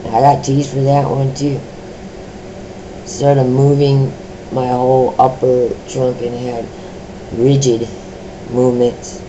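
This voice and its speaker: A middle-aged woman with a deep voice, sharing her own experiences in a personal vlog, speaking slowly with many pauses, ums and restarts. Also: little pitch movement, dull audio, noisy background. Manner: slow, halting talk with many fillers and restarts.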